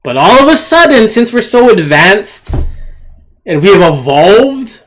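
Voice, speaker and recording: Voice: mid-range voice, very animated, unhurried. A person giving an indignant, opinionated commentary, emphatically repeating phrases and asking rhetorical questions. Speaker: video commentator. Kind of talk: opinionated rant commentary on shared screen clips.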